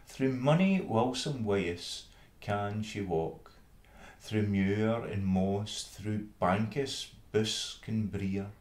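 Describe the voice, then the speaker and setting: A Scottish man reading an old fable in verse with measured, dramatic storytelling. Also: lowish voice, darkish tone. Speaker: reader. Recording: roomy, echoing space.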